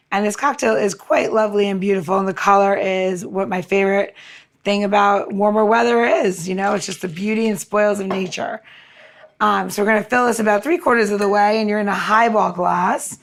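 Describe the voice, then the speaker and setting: A woman's voice: medium pitch, fairly even intonation, a little fast, creaky. A woman co-hosting a podcast, talking through drinks in a casual how-to chat. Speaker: podcast co-host and cocktail mixer.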